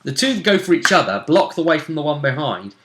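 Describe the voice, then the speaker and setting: A man's voice: fairly high pitch, big pitch swings, fairly quick, fairly bright tone. A man co-hosting a gaming vidcast, talking casually and speculating about a tabletop tournament. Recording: echoey room sound.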